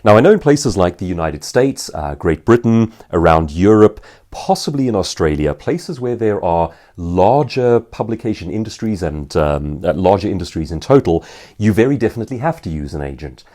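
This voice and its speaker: A man's voice: low voice, big pitch swings, normal speed, dark tone. A man with a South African accent giving an instructional talk to viewers, explaining points articulately and posing rhetorical questions. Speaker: author and professional speaker answering viewer questions.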